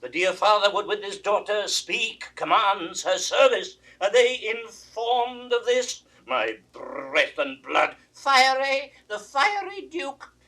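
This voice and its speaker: A wrathful old king in a classical audio drama. His voice is fairly high and very forceful, swinging widely as he declaims with imperious pride. The 1960s recording places him a little away from the microphone. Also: very animated, slow, few pauses, recorded from a distance, exaggerated voice. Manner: declamatory, imperious, abrupt swings from muttering to roaring. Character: proud, aging king, wounded father, volatile and wrathful. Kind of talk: classical Shakespearean stage acting.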